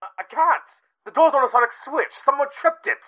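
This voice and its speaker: A man playing a fretful pony police inspector in a cartoon audio drama, hurried and earnest, with dull muffled sound. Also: very high voice, animated. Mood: panicked.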